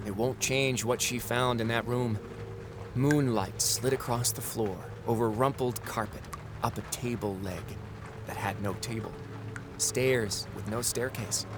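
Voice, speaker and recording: A man playing a wry, ominous narrator in an audio drama, telling the story in a deliberate, theatrical way. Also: mid-range voice, noisy background, natural voice.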